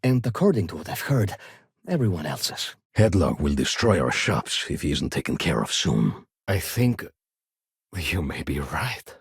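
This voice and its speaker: An actor playing a fussy, haughty luxury shopkeeper in a sci-fi video game, with a refined, expressive and snippy delivery. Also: male voice, sits low, a little slow, slightly rough, no echo, clean studio sound, exaggerated voice. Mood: worried, earnest. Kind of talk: video game voice acting, short standalone dialogue lines.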